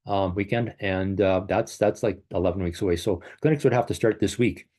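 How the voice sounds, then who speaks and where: A man's voice: low voice, fairly quick, warm tone. A man in his sixties, a running coach and race director, chatting casually to a virtual clinic over a computer call. He rambles, corrects himself as he goes and drops in upbeat little asides. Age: 60s. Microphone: computer video call.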